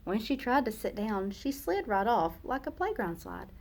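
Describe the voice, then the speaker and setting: A woman teacher reading a children's picture book aloud, slow and expressive for young listeners. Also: a little high.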